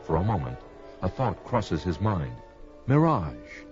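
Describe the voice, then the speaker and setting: An actor playing a grave storyteller, giving solemn, dramatic scripted narration of a barbarian adventure. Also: male voice, sits low, sing-song, slow, heavily acted. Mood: grave, portentous. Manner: solemn, portentous. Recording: dull vintage story record with music.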